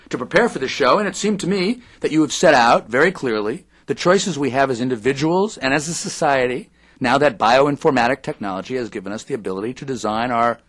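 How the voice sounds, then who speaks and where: An actor playing an earnest, polite radio reporter conducting an interview in an audio drama. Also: male voice, mid-range voice, fairly bright tone.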